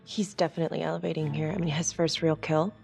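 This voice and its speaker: Female district attorney, slightly low voice, composed, inquisitive, direct, crime game, faint music behind. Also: fairly even intonation, fast, gravelly, a little mellow. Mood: probing. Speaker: video game actor. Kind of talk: naturalistic crime drama acting. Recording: faint music under the dialogue. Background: background music.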